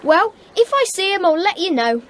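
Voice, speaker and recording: Cheeky kid boy, really high voice, bouncy intonation, bit of noise behind. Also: sing-song, normal speed. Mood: wary. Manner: cheeky, colloquial. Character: cheeky, streetwise schoolboy, wary but friendly. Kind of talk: radio drama acting.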